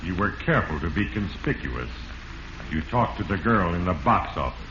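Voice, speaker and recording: The sinister male voice of Fate in a vintage radio mystery. He is deep and echoing, speaking with grave, portentous judgment straight to the guilty. The recording is worn and noisy. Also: lively intonation, moderate pace, highs cut off. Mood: grave accusing. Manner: grave, portentous, addresses the culprit directly. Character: stern, sinister voice of Fate, all-knowing accuser. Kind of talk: 1940s radio narration.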